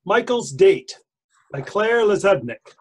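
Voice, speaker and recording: A woman's voice: medium pitch, sing-song, slow pace, darkish tone, husky, heavily acted. A woman reading announcements and small scripted parts for a readers theatre. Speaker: announcer and bit-part reader.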